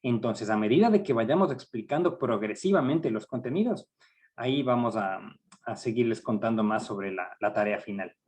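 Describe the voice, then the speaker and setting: A middle-aged man with an Ecuadorian accent leading a university writing seminar, speaking in a measured, hesitant way with frequent fillers. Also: mid-range voice, a little mellow. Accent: Ecuadorian Spanish. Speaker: university research director giving a workshop. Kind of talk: academic training seminar.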